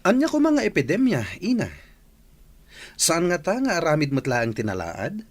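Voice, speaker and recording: A man's voice: on the high side, huge pitch swings, normal speed. A man acting a skeptical, teasing young son in a radio drama.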